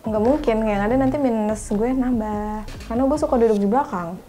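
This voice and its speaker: A woman's voice: on the high side, a little fast, warm tone, slight background noise. A woman, chatting casually as an interviewed employee. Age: 20s.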